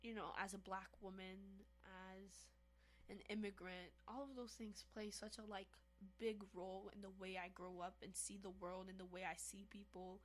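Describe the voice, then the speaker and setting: Animated young woman from Haiti. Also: medium pitch, normal speed. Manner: animated, emphatic, addresses listeners as y'all. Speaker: podcast co-host. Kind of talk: casual chat.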